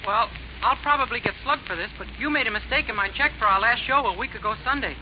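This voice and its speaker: A man's voice: very high voice, quick pace. A man in a 1940s radio comedy cast, speaking in a meek, naive, timid way. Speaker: singer in the cast. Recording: dull, noisy old radio broadcast.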